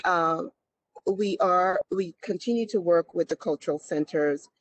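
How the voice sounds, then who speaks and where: County health dept rep on a video call, really slow, lots of uhs. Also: medium pitch, a bit bright. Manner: slow and measured, frequent "uh". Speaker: county health department representative. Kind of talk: panel talk on a video call.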